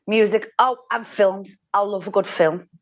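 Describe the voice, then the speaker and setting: A woman playing a chatty, homely worker given to sharp grumbles, heard over a dull online call. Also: medium pitch, fairly even intonation, unhurried, lightly acted. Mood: enthusiastic.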